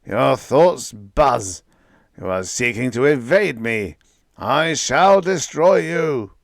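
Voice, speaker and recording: A man's voice: mid-range voice, lively intonation, a little slow, no echo, clean studio sound, voice pushed up. A man voicing an ancient, arrogant supreme intelligence that commands and roars threats. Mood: imperious. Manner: pompous, commanding, roaring. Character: booming arrogant cosmic intelligence, ancient, furious.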